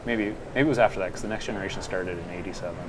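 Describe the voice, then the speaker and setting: Low-voiced man chatting in a noisy pub. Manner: halting, many fillers and restarts. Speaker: podcast co-host and linguist. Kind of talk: casual pub conversation.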